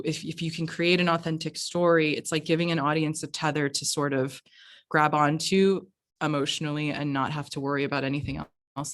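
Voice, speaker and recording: A woman with an American accent answering casually as an interviewed film producer, reflective and halting, often saying "like". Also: sits low. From United States. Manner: halting, reflective, frequent 'like'. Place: remote virtual event.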